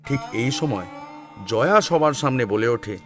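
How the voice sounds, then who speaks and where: Animated male dramatic storyteller over music. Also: mid-range voice, measured pace. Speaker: narrator.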